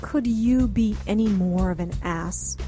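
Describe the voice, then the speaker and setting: A woman's voice: medium pitch, a little slow, warm tone, faint music behind, close to an everyday voice. Audio drama: woman playing grieving, headstrong occult doctor, medium register, earnest, defiant, urgent. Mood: insistent, pleading. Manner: earnest, indignant, intense.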